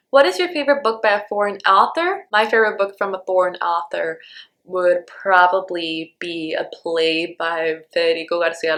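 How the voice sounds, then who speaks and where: A woman's voice: medium pitch, pushed and bright. A young woman from Honduras whose first language is Spanish, talking casually to her viewers. She is chatty and loose, filling her sentences with "like" and "um" as she answers questions. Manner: chatty, lots of "like" and "um". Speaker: book vlogger. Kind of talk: casual Q&A vlog.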